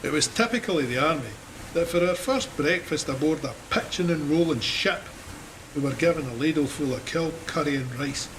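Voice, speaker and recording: A man's voice: a little high, fairly quick, a bit bright, a little hiss or hum, close to an everyday voice, low-quality recording. An actor with a Scottish accent playing a wry, plain-spoken cavalryman recounting his war in a dramatised memoir. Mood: wry, reflective. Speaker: narrator of an audio drama. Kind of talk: dramatised first-person memoir narration.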